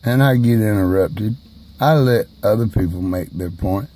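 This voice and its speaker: A man speaking slowly and haltingly in a personal video monologue, who is hard of hearing and raises his voice at times. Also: lowish voice, warm tone. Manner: slow, halting, emphatic delivery.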